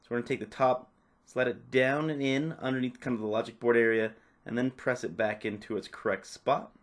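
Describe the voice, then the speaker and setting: A man narrating a step-by-step tutorial off the cuff, in a casual, instructional way with softened phrasing. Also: medium pitch, fairly even intonation, a little fast. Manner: casual, instructional, hedging with "kinda" and "a little bit". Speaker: repair tutorial presenter.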